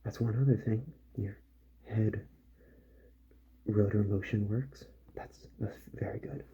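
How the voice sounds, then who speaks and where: A man doing a soft-spoken ASMR roleplay as a calm, methodical repair doctor, musing gently to himself and often drifting into a whisper. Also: fairly low pitch, slow. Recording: muffled audio with faint background noise.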